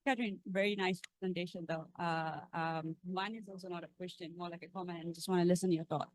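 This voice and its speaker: A woman's voice: lowish voice, fairly even intonation, fast, dry recording, low-quality recording. A woman with a Malaysian accent, an audience member making a long, earnest comment in a Q&A with some hesitation.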